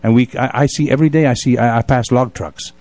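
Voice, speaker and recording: A man's voice: medium pitch, quick pace, darkish tone. A man hosting a casual radio interview, chatting in a jovial and opinionated way. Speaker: radio show host.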